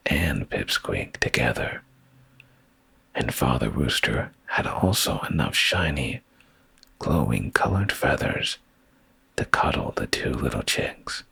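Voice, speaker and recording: Very deep gravelly man whispering a bedtime story. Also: no echo. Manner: hushed, drowsy, soothing delivery, often slipping into whisper. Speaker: ASMR streamer reading bedtime stories. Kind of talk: soft ASMR bedtime story reading. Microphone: close microphone.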